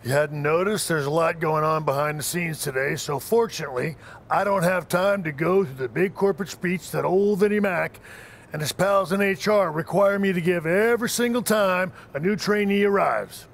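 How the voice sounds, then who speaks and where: Brisk head trainer in a game, gravelly, a bit high. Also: male voice, a little hiss or hum. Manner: brisk, matter-of-fact.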